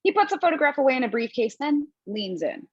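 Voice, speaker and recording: A woman reads the stage directions in a table read, brisk and casual with a playful streak. Her voice is slightly husky and fairly high. The home mic is dull and dry. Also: big pitch swings, no room sound. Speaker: narrator reading stage directions.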